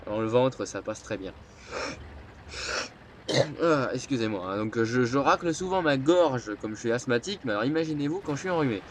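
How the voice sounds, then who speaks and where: A man talks over his gameplay with a cold, his voice a little stuffy through the nose. He sits at a middle pitch and rambles, circling back with hesitations and filler sounds. A faint noise sits behind him. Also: lively intonation, moderate pace. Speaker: gameplay commentator. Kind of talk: casual gameplay commentary.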